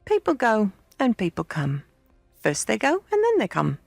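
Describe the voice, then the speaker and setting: Woman doing a storybook village girl, cool and mocking, slow and drawling, gravelly edges. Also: a little high, wildly swooping pitch, no room sound, soft background music, voice pushed up, exaggerated voice. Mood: aloof. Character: cool, teasing, aloof young village girl.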